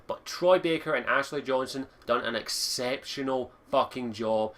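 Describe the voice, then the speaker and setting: Young Lowland Scottish guy, game reviewer, bright and punchy, sweary and rambling. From Scotland. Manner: enthusiastic, frequent swearing, restarts and fillers. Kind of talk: casual video game review monologue.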